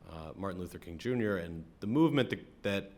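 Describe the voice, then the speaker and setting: An American man from Atlanta speaking as a rabbi, giving a conversational, reflective sermon with frequent halting pauses. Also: sits low, expressive, darkish tone. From Atlanta, American South. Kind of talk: sermon, conversational talk to congregation.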